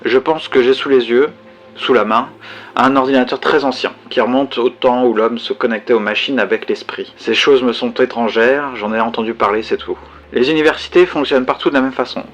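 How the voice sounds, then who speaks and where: A man's voice: medium pitch, steady tone, fairly quick, muffled recording, lightly acted. A man playing a solitary, bookish survivor who reflects on his past in a conversational, hesitant first-person science fiction audio drama monologue. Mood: reflective.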